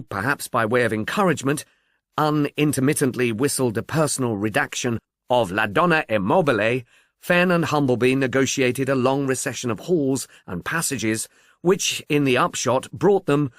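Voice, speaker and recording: A man narrating a literary mystery audiobook in a measured, articulate, expressive way. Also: mid-range voice, dry recording, studio quality.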